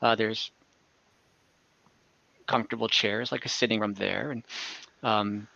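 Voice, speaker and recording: A man acting as game master, casually narrating a scene to friends and feeling for his words in a halting way, over an online voice chat. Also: lowish voice, flat delivery, creaky, pushed and bright, no room sound, muffled recording. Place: online group voice call.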